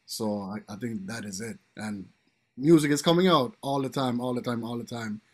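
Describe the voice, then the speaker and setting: A relaxed male DJ chatting easily on a panel, his voice sitting at an ordinary middle pitch. He tends to let the ends of his thoughts drop away into a soft murmur. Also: normal speed. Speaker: DJ guest. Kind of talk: casual panel chat.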